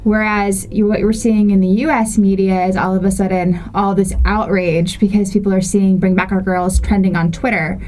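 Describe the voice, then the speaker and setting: A woman's voice: medium pitch, level tone, normal speed, hardly any pauses, darkish tone, poor audio. A woman co-hosting a conversational radio interview, earnest and opinionated in how she frames her questions. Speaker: radio co-host.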